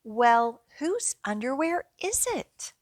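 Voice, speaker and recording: Bouncy, curious little mouse, animated woman reading. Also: high-pitched, slow, dry recording, studio quality, exaggerated voice. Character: helpful, curious little mouse from a children's picture book.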